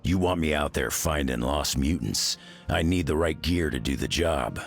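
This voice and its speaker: A rugged game brawler in an extremely low, gravelly register. His delivery is blunt and dry, with lively dips and rises, over faint music. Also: normal speed, exaggerated voice. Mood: gruff, wry. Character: gruff, rugged mutant brawler, wry and blunt.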